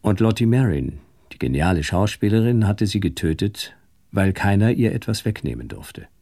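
Deep, husky, weary narrator. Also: male voice, very animated, normal speed, mellow, no echo, studio quality, full character voice. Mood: reflective, relieved. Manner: reflective, literary. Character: reflective, world-weary man narrating his own ordeal. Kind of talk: scripted first-person radio drama narration.

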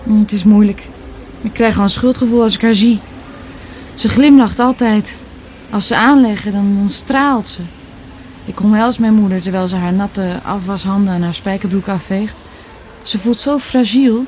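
Woman playing young daughter, mellow dark tone, melancholy, guarded, radio play dialogue. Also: medium pitch, normal speed, slight background noise, close to an everyday voice. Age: young adult.

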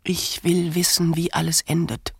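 Husky, impatient woman. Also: lowish voice, level tone, unhurried, a bit bright, exaggerated voice. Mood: insistent. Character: impatient, practical woman urging her weary partner on. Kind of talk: radio play dialogue.

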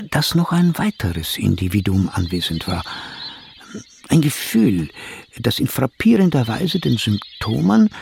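An actor playing a pompous, self-analysing psychotherapist in a radio play, speaking in a stilted, long-winded, old-fashioned way. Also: male voice, mid-range voice, creaky, slight background noise. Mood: solemn, ominous. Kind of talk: German radio play acting.

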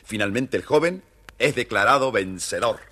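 A man narrating a children's fairytale theatrically in a husky voice. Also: animated, normal speed, sharp tone. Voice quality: husky, deep. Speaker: storyteller, narrator.

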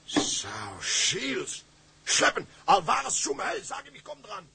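An actor playing a domineering, hard-drinking tugboat captain with a German accent, gruff and cursing as he barks orders. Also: high voice, wildly swooping pitch, slow pace, sharp tone, exaggerated voice. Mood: defiant, loud. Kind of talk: film drama acting.

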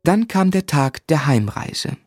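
An actor narrating a radio play as a grown man gently and reflectively recalling his boyhood, in measured literary style. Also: fairly high pitch, very animated, no echo, clean studio sound, faint music behind. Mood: wistful.

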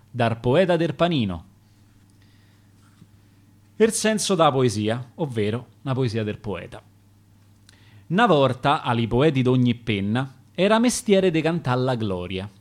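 A man with a Roman accent reciting his own dialect sonnets for radio in a rhythmic, dramatic way. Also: mid-range voice. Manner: rhythmic, dramatic verse delivery.

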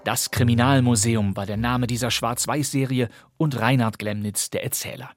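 A man's voice: medium pitch, steady tone, moderate pace, faint music behind. A man with a German accent hosting a podcast, reading scripted narration in an articulate, presenterly way. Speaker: podcast host. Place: dry studio.

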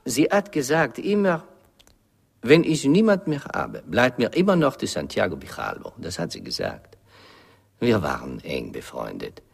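Gravelly, oily French-accented crook. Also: male voice, fairly low pitch, big pitch swings, a little slow, full character voice. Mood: smug, confiding. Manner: effusive, affected, broken German peppered with French. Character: oily, intrusive Haitian crook posing as art dealer. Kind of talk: radio drama acting.

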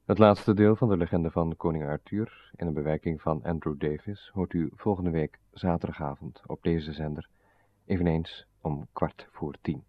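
A man's voice: a bass voice, normal speed. A man reading formal scripted announcements as a radio announcer. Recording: slightly dull old radio recording.

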